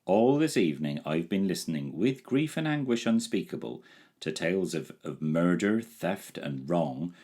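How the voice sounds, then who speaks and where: A man's voice: deep voice, sing-song, normal speed, mellow. A man playing a stately, kindly knight. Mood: earnest. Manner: stately, grand. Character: kindly, noble knight, grand and solemn.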